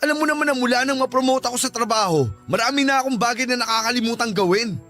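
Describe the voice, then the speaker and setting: High-pitched tired Filipino husband. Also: male voice, fast talker, pushed and bright, soft background music. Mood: defensive, irritated. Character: tired, defensive overworked husband and father. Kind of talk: radio drama acting.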